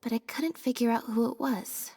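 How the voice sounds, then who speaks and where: A woman playing a reserved young female stage assistant in a video game, speaking in a deadpan, understated way. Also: on the high side, moderate pace, long reverb tail, a little hiss or hum, lightly acted. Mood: subdued.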